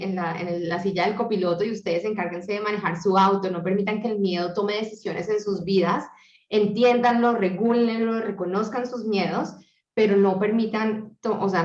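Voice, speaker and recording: A woman's voice: medium pitch, darkish tone. A woman hosting a webinar over a video call, speaking in an encouraging, reflective way. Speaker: webinar host. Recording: echoey, dull lo-fi video call.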